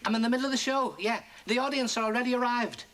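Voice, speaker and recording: A comedian man doing a stand-up routine as a pretend phone call to his mother, firing off quick one-liners. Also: very high-pitched, a bit bright.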